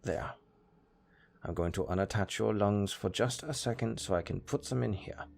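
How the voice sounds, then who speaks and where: Man acting a chatty, creaky-voiced necromancer. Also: fairly low pitch, normal speed, a little mellow, a little hiss or hum. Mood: businesslike, upbeat. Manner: casual, chatty, matter-of-fact about grisly work. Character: chatty, pragmatic male necromancer, former tailor. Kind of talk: intimate audio roleplay acting.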